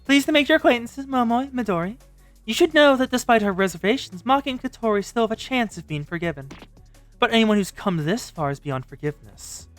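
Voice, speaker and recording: A woman's voice: on the high side, expressive, a little fast, faint music behind, natural voice. Woman playing a polite, menacing schoolgirl. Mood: coolly menacing.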